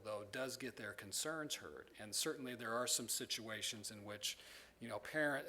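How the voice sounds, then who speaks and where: A man's voice: medium pitch, pushed and bright. Man explaining at a presentation. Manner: measured, explanatory, with frequent ums and restarts. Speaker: presenter from a state education agency. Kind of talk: presentation answering audience questions.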